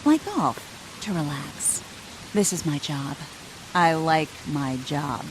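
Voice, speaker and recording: A woman voicing a menacing, sadistic female killer in a video game, taunting and sardonic in a deliberate way. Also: low-pitched, very animated, noisy background, exaggerated voice. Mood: mocking menace.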